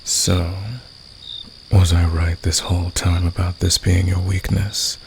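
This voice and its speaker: ASMR roleplay villain, male performer: very low, creaky voice, smug, probing and flirtatious. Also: little pitch movement, background noise, heavily acted. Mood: flirtatious, challenging. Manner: teasing, probing, drawn-out pauses. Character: flirtatious, teasing male fantasy villain, smugly confident. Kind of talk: ASMR roleplay, intimate scripted monologue.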